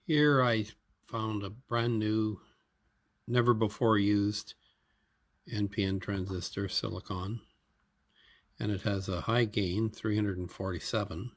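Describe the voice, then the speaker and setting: A man with a fairly low voice talks through hands-on repair work. He explains each step in an unscripted way, thinking aloud in short, halting phrases. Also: steady tone. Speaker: hobbyist radio repairer.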